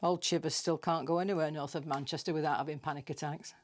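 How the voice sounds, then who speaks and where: Low-pitched British woman telling comic tales. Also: fairly even intonation, fast talker. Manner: dry, conversational, confiding. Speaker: storyteller reading own comic tales. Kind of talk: scripted comic monologue, chatty gossip.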